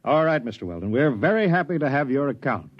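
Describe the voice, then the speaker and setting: An actor playing a fussy 1930s bank officer in a radio drama, chattering, repetitive and obsequiously polite. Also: male voice, mid-range voice, very animated, fast, highs cut off, natural voice. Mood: eagerly reassuring. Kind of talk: 1950s radio drama acting.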